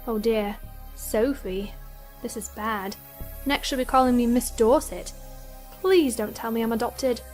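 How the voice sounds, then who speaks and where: A woman's voice: a little high, a little slow, a little mellow, faint music behind, close to an everyday voice. A woman playing a sarcastic, bewildered seventeen-year-old girl with dry asides in an audio drama. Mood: wary.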